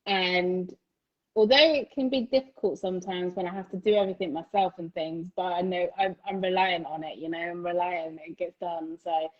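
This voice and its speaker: A woman's voice: lowish voice, fairly even intonation, normal speed, muffled recording. A woman interviewing an artist in casual chat, enthusiastic and full of fillers, laughing often. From United Kingdom. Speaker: interviewer, channel host. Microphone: phone recording.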